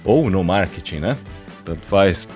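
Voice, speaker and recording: A man's voice: low voice, animated, unhurried, darkish tone, soft background music. A man hosting a podcast who introduces a guest in an upbeat way and often adds tag questions. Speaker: podcast host.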